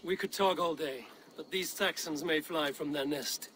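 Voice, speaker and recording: A man's voice: fairly high pitch, moderate pace, a bit bright, a little hiss or hum, close to an everyday voice. A man playing a stoic Viking warrior leader in a video game, asking and answering in a terse, serious way. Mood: curious, calm. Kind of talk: video game character acting.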